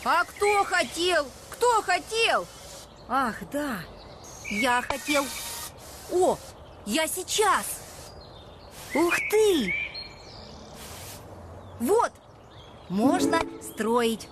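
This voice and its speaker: A woman voicing a curious, naive, excitable little boy alarm clock in a children's cartoon, full of exclamations and drawn-out words. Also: sits high, background noise, full character voice. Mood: curious, playful.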